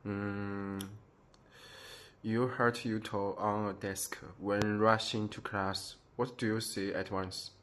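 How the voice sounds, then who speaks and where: A man interviewing a friend, asking questions hesitantly with pauses. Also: on the low side, flat delivery, a bit bright. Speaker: student interviewer.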